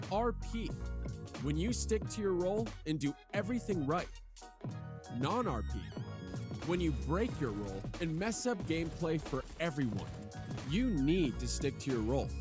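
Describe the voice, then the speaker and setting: A voice actor playing an upbeat, welcoming guide who narrates a scripted video game tutorial. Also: medium pitch.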